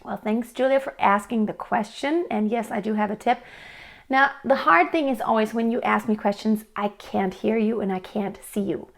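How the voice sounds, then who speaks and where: A woman's voice: fairly high pitch, moderate pace, gravelly. A woman teaching singing, explaining technique in a friendly, patient way and answering a viewer's question. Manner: friendly, explanatory, with sung demonstrations. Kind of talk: vocal coaching tips to camera.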